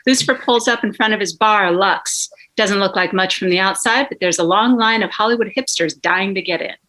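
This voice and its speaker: A woman's voice: mid-range voice, a little fast, bright, forceful tone, rough recording. A woman reading scripted stage directions as a dramatic narrator at a table read.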